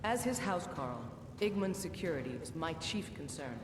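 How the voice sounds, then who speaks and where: An actor playing a serious, formal retainer to a lord in a fantasy video game. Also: male voice, high-pitched, expressive, moderate pace. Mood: watchful, serious. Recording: far-off echoing hall, noisy game capture.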